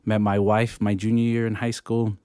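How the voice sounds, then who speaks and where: A man in his thirties from New Zealand with a New Zealand accent, a pastor talking as a guest in a casual interview, halting with many ums and restarts. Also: lowish voice, level tone, mellow, smooth, no room sound, clean studio sound. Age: 30s.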